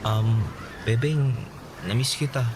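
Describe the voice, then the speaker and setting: A man's voice: mid-range voice, steady tone, slow, noisy background, natural voice. A man playing an eager, earnest young carnival boy in love, in a romantic radio drama. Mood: shy, earnest.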